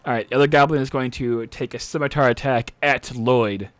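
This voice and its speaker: A man acting as game master, narrating a tabletop game off the cuff and pausing to check rules aloud. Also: mid-range voice.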